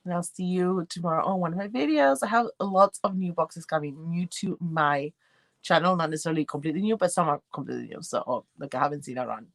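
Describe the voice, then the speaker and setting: A woman's voice: on the low side, fast, creaky, dry recording. A woman from Argentina with a light Argentine accent, hosting a casual livestream and rambling as she answers viewers' comments. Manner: chatty, rambling, reads and answers chat comments. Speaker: livestream host.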